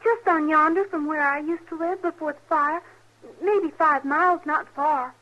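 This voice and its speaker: A woman's voice: sits high, steady tone, moderate pace, sharp tone. A woman playing a trusting, tired little girl in an old radio western, speaking artlessly in a small childlike voice. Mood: tired, plain. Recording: narrow, faintly noisy old radio recording.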